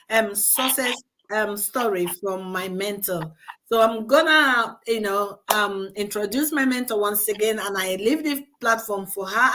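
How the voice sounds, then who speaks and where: High-voiced chatty woman host. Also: a bit bright, a little hiss or hum, rough recording. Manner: chatty, filler-heavy, encouraging. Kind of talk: casual online chat show.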